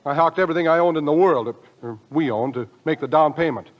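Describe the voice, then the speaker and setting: An actor playing an earnest, easily exasperated young husband on a farm in old film comedy style, stammering when emotional. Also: male voice, on the high side, quick pace, natural voice. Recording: dull old film soundtrack.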